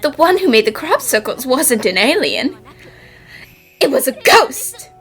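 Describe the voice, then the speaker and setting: A woman voices a young lady detective in a cartoon dub. She is high-pitched and swings expressively through her phrases, polite yet thoroughly sure of herself, with music playing underneath. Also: big pitch swings, a little slow, a bit bright, heavily acted. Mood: earnest, insistent. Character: confident, spirited young female detective solving mysteries. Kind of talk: cartoon fan dub acting.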